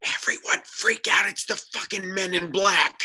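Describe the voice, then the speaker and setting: Man acting jittery man, fairly high, very sharp bright tone, table-read drama. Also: fairly even intonation, a little slow, in a whisper, exaggerated voice. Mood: panicked. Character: jittery man failing to keep everyone calm. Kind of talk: live table read of a script.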